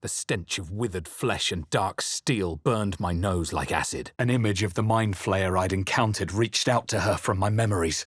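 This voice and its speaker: A man playing a brooding adventurer who narrates a fantasy video game in the first person, solemn, deliberate and literary. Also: low voice, slightly rough, no echo, clean studio sound. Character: brooding male adventurer narrating his own dark memories. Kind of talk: dramatic scripted fantasy narration.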